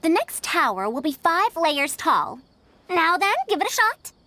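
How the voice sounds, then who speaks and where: High-pitched, animated scholarly woman mentor. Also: a little slow, slight background noise, exaggerated voice. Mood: encouraging. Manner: instructive, precise, formal wording. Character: scholarly senior female teacher, self-assured, encouraging mentor. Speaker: game character, mentor. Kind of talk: scripted video game dialogue.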